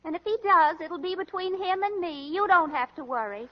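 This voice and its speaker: An actress playing a loyal, defensive young married daughter, plaintive and indignant, in a 1930s radio drama. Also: female voice, extremely high pitch, expressive, moderate pace. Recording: dull old 1930s radio recording.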